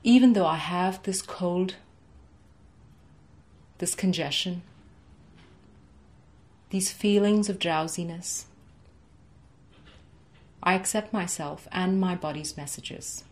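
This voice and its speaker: A young woman coaching a guided tapping session from her home office, speaking in slow, soothing, rhythmic repeated phrases for the listener to echo. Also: lowish voice, darkish tone. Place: home office with framed certificates, indoors.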